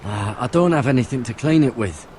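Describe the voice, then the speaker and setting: An actor playing a gruff but caring male companion in a video game, low-key and grumbling, over faint noise. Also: expressive, a little fast, darkish tone, natural voice. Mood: worried, grumbling. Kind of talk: dramatic video game voice acting.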